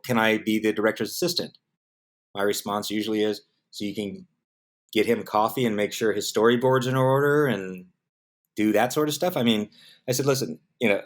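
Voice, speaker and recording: A middle-aged man with an American accent giving casual, anecdotal interview answers, animatedly acting out bits of dialogue. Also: lowish voice. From San Diego, United States. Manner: animated storyteller, acts out quoted dialogue. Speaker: interview guest, film production professional.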